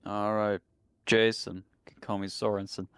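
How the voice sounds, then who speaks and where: Low, gravelly man at a tabletop game. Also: level tone, moderate pace, fairly bright tone, dry recording. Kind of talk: casual chat and in-character roleplay.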